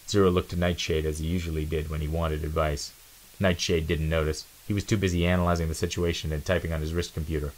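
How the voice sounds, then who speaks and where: A man's voice: a bass voice, little pitch movement, quick pace, poor audio. A man giving a dramatic narration of an adventure storybook.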